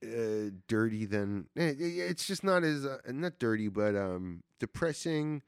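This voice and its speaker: Enthusiastic, rambling podcast host. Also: male voice, on the low side, expressive, measured pace, clear, resonant tone, no room sound, studio quality. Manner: enthusiastic, rambling, swears freely. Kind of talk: casual movie chat.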